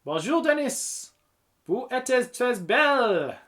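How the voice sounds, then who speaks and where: A man voicing an excitable, teasing, encouraging schoolgirl in a children's audiobook. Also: sits high, moderate pace, pitched above the performer's own voice. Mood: delighted. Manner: eager, gushing, teasing. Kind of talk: children's audiobook character reading.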